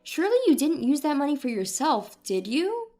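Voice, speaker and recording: A woman's voice: sits high, sing-song, moderate pace, dark tone. A woman voicing a caring but persistent grandmother in dialogue from a narrated story. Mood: indignant. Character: indignant, caring, persistent grandmother confronting her family.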